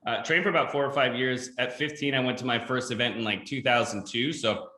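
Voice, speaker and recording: A man in his thirties from Ontario hosts a casual interview. His voice is bright and forward, at a medium pitch, and he rambles eagerly with some self-deprecation. The room around him is makeshift and echoey. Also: fairly even intonation, brisk. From Ontario, Canada. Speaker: podcast host. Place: games room in a rented house.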